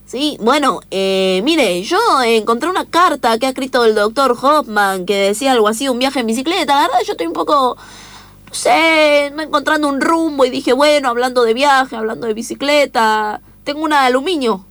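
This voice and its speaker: A woman playing an aimless, excitable seeker in a comic radio play, hesitant, rambling and naively enthusiastic. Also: high-pitched, normal speed, no room sound.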